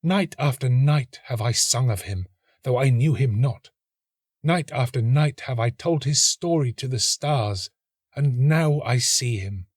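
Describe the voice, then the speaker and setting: A man's voice: mid-range voice, breathy edge, no room sound, studio quality, pitched above the performer's own voice. A man voicing a tender female nightingale in a storybook, earnest and romantic, with a lyrical, wondering delivery. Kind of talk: storybook reading.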